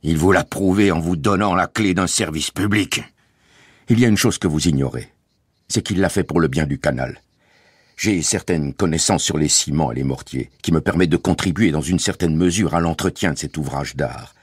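An anxious, honest schoolteacher father in a storybook, played by a male narrator. His voice is low and a little husky, with a formal, earnest manner. The recording is dry and close. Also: moderate pace, no echo. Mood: earnest protest.

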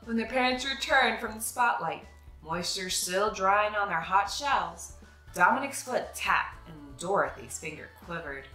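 A young adult woman reading a children's picture book aloud with expressive, dramatic phrasing. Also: mid-range voice, measured pace, echoey room, faint music behind, rough recording. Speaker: children's read-aloud host.

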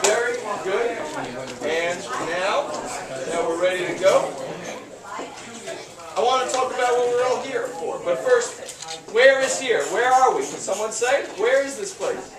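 A man's voice: very high voice, animated, a little slow, long pauses, recorded from a distance, a crowd in the background. Upbeat young man entertaining kids in an echoey hall. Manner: upbeat, jokey, coaxing the audience. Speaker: children's entertainer. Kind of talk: children's party show. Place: large hall decorated for the holidays, indoors. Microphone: far camera.

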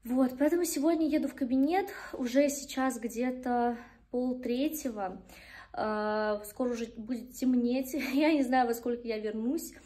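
A woman's voice: on the high side, normal speed, warm tone. A woman in her twenties talking casually to her phone as a manicurist vlogger, chatty and a little self-deprecating. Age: 20s. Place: small indoor kitchen and studio rooms. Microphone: phone at arm's length. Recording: clear background room noise.

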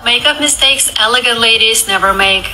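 A woman's voice: fairly high pitch, normal speed, sharp tone, faint music behind, low-quality recording. A woman giving instructional beauty tips as a femininity coach.

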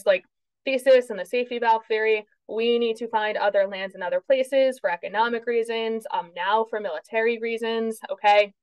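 A woman's voice: medium pitch, no room sound, poor audio. A woman with a New Jersey accent giving a recorded history lecture from her basement, chatty and self-correcting, often checking in with "okay?". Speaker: history teacher. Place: home basement. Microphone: webcam.

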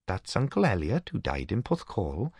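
A man's voice: deep voice, wildly swooping pitch, moderate pace, creaky, a little mellow, breathy edge, dry recording, voice pushed up, full character voice. A fond, gossipy Welsh housewife acted out by a man chats in a storybook reading. The voice is lifted above the reader's own. The recording is dry. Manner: gossipy, affectionate. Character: chatty, fond Welsh housewife reminiscing over family.

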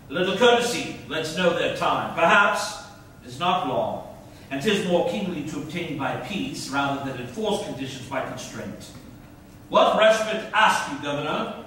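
Firm princely envoy, very echoey. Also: male voice, a little high, expressive, normal speed, a bit bright. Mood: formal. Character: courteous but firm Turkish prince and envoy. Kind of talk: classical verse stage reading. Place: stage of an auditorium, indoors. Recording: echoey hall, distant, some noise.

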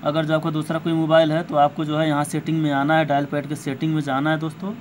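Higher-voiced man giving phone tips over noise. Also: monotone, fast. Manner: repetitive, hesitant, addresses viewers as friends. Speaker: tech tutorial host. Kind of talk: informal step-by-step phone tutorial narration. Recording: clear background noise, amateur recording.

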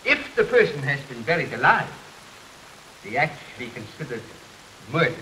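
A man's voice: medium pitch, big pitch swings, normal speed, pushed and bright. An older man playing a feisty, fearless preacher in a 1930s film acting style. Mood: firm, deliberate. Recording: hissy, noisy 1930s film soundtrack. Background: rain and hiss.

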